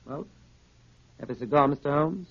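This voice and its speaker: An actor playing a shifty, sneering swindler and gang boss in 1930s radio style, brusque and evasive. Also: male voice, on the high side, moderate pace. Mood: guarded. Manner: brusque with underlings, smoothly evasive. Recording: noisy, muffled 1930s radio recording with clicks.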